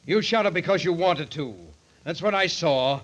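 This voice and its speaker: A cold, scheming radio murderer. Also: male voice, on the high side, lively intonation, fairly bright tone. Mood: cold, taunting. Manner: smooth, taunting, deliberate. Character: cold, scheming male murderer narrating his own crime. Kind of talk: old-time radio mystery acting.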